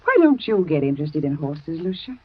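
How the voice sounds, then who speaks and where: An actress playing a brisk, confident, sporty young woman in a 1940s radio drama, with a breezy, teasing manner. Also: deep voice, very animated, no room sound. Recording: dry dull old radio recording.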